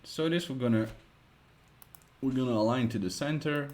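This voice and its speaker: A man with a Dutch accent, a Dutch native speaker, narrating a screen-recorded web design tutorial, thinking aloud with many pauses and fillers. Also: mid-range voice, darkish tone.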